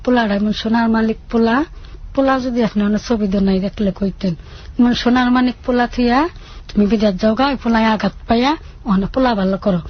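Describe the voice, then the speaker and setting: A woman's voice: on the high side, steady tone, fairly quick, a little hiss or hum. A woman with a rural Bangladeshi dialect telling her life story in a plaintive, colloquial way. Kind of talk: emotional personal storytelling. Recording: dull lo-fi radio audio.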